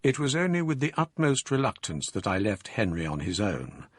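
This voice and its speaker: An actor narrating as a reflective gentleman, recounting events in a measured, formal way in a radio drama. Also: lowish voice, big pitch swings, husky.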